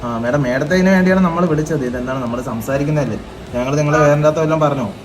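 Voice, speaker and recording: A man's voice: fairly high pitch, fast talker, a crowd in the background, lightly acted. A man playing a child helpline officer on a prank call, politely but hesitantly questioning someone about a complaint. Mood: probing, hesitant. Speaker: prank caller. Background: loud music.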